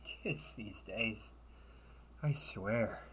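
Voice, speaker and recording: A man's voice: lowish voice, a bit bright, slight background noise. A man playing a sly, wealthy older brother in a sci-fi audio roleplay, with theatrical, formal, teasing delivery. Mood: playful hospitality. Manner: theatrical, formal wording with teasing chuckles and drawn-out pauses. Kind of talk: solo audio roleplay addressed to the listener.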